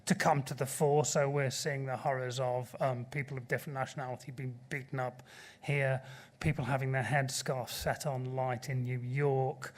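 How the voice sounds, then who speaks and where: A middle-aged man answering at a conference panel, earnest and measured as he presses his point, speaking into a table microphone in a large auditorium. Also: mid-range voice, little pitch movement. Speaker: panelist from a sea rescue group.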